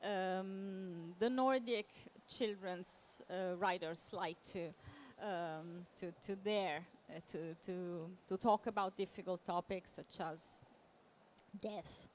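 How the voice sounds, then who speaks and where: A woman giving a semi-improvised conference presentation as a literary translator, halting and often repeating words as she searches for phrasing. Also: fairly low pitch, fairly even intonation. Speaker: literary translator, panel speaker. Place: live talk at a book fair event. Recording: clear background noise.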